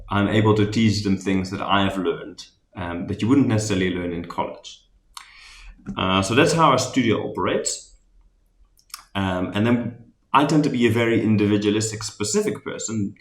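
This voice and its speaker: A man with a Dutch accent talks through a recording of his design session in a loose, conversational way, often pausing on ums and restarting sentences. His voice sits very low. The sound bounces around a roomy, echoey space. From Netherlands. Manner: relaxed, talkative, many ums and restarts. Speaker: spatial design architect presenting a workshop recap. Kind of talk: recorded presentation recap.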